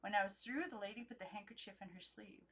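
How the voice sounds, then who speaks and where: A woman voicing a shy, earnest young girl in a storybook, speaking in a halting, rambling way. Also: mid-range voice, a bit bright, husky. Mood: earnest, proud.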